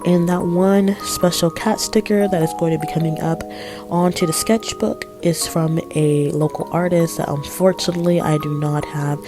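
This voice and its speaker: A teenage girl with a raspy voice from a cold, chatting casually in a rambling voice-over with apologetic asides. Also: lowish voice, mellow. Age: teens. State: hoarse from a cold. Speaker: art vlogger.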